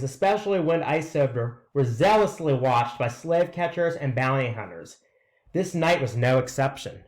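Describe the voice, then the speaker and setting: A man reading aloud in a scripted narration style, presenting a written story to listeners. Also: a little high. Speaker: reader presenting a read-aloud.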